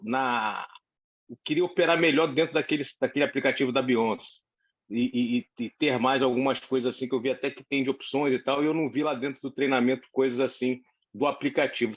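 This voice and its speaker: A man on a video call as a student, asking a question in a friendly, rambling way with halting restarts. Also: mid-range voice, dull audio.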